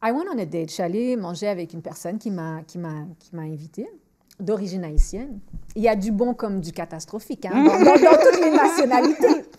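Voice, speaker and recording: A woman lively Montreal podcast host. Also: mid-range voice, fairly quick. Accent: Montreal French. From Montreal, Canada. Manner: lively, switches freely between French and English, repeats words when thinking. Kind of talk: candid conversational storytelling.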